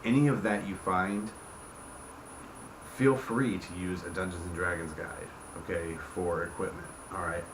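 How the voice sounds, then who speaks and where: A man's voice: sits low, fairly even intonation, measured pace. A man giving an informal explanation as host, often checking in with the listener with small tag questions. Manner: checks in often with "okay", "right", "you see what I'm saying". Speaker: host, game creator explaining rules. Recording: roomy echo, clear background noise.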